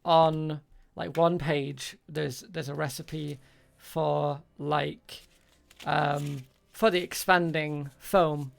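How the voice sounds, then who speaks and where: A game master with a creaky voice and a hesitant delivery, improvising tabletop roleplay as a shopkeeper. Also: mid-range voice, level tone, very slow.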